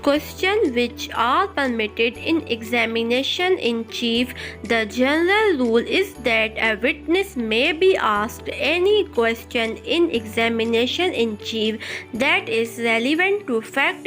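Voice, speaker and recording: A woman giving an exam-focused law lecture. She reads English notes slowly and then explains each point in Hindi-Urdu. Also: on the high side, animated, a bit bright, soft background music, low-quality recording.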